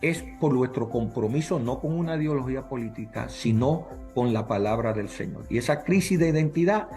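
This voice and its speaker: A man's voice: medium pitch, lively intonation, a little fast, dark tone. Man, middle-aged, native English speaker, earnest, emphatic, faint background music. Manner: earnest, emphatic, lists points with repetition. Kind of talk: interview answers.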